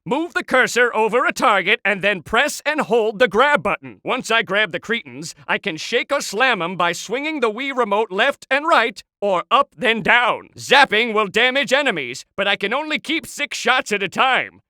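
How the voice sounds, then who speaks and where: A tiny, scheming sea-creature villain from a cartoon game. His voice sits high and has a hard, very sharp edge, recorded close and dry. He delivers everything with pompous, sardonic theatricality, like a would-be world conqueror. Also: animated, moderate pace, hardly any pauses, no echo, full character voice. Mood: smug instructing. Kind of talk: animated video game character lines.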